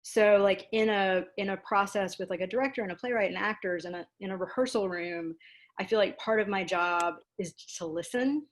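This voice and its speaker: A woman's voice: mid-range voice, fairly quick. A woman giving casual interview answers on an online video call, explaining her work in an informal, self-deprecating way. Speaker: guest, dramaturg and producer.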